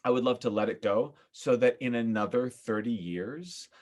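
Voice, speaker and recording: A man with an American accent, from upstate New York, talking casually as a podcast guest in an animated, opinionated, emphatic storytelling way. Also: medium pitch, normal speed. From upstate New York, United States.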